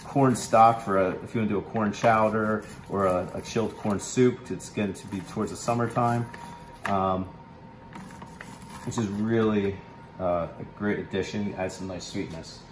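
A man in his thirties giving a relaxed, instructive cooking demo in a home kitchen, with plenty of ums and uhs. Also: lowish voice, moderate pace, background noise. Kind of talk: casual cooking demonstration. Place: large home kitchen, indoors. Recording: echoey kitchen room sound.

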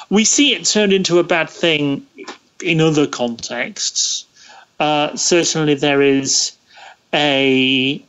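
A man in his thirties talking as an interview guest in a thoughtful, halting way, restarting his phrases. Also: on the high side, fairly bright tone, lo-fi sound. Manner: hesitant, thoughtful, halting delivery with stammered restarts. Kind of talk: casual interview conversation.

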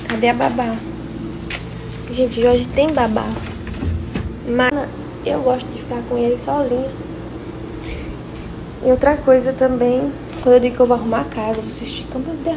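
Slightly hoarse young Northeastern Brazilian woman, creaky. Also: sits high, steady tone, moderate pace, long pauses. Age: 20s. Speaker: social media vlogger. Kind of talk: casual chat to followers. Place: indoors at home, several rooms. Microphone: phone at arm's length. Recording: noisy phone recording at home.

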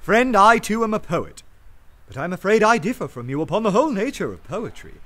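An actor playing an earnest, respectable poet of law and order in a radio play, speaking with literary rhetoric. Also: male voice, high voice, very animated, fairly bright tone. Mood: fervent debate. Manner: measured when narrating, fervent and rhetorical in debate. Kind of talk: radio drama narration and acting. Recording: music bed under the voice.

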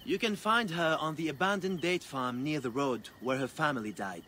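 An actor playing a sorrowful middle-aged embalmer who speaks gently and sympathetically. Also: male voice, on the high side, normal speed, noisy background, lightly acted.

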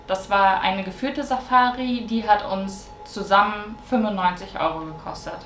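A woman's voice: mid-range voice, normal speed, a bit bright, roomy sound, a little hiss or hum. A young woman, a travel vlogger, plainly listing trip expenses item by item to a camera in a bedroom. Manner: plain, listing items one by one. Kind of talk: scripted cost breakdown to camera. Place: bedroom with mosquito net, indoors.

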